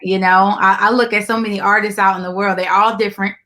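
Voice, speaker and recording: A woman's voice: mid-range voice, flat delivery, fast, bright, forceful tone. A woman hosting a casual interview, speaking in an encouraging, affirming way. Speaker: interview host.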